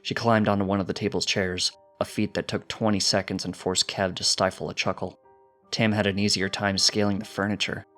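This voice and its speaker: Low-voiced man narrating a story. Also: flat delivery, a little fast, a bit bright, soft background music. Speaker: fan fiction narrator.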